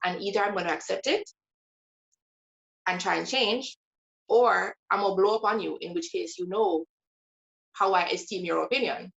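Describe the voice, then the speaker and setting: A woman's voice: medium pitch, moderate pace, vocal fry, long pauses, muffled recording. A woman guest sharing her Bible study in a casual faith conversation. She speaks earnestly and with emphasis, stretching out key words like a teacher.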